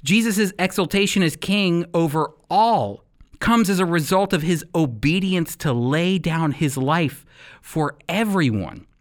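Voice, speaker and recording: An American man teaching the Bible like a radio preacher, with emphatic, deliberate phrasing and rhetorical questions. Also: on the high side, expressive. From United States. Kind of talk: sermon-style Bible teaching with scripture reading.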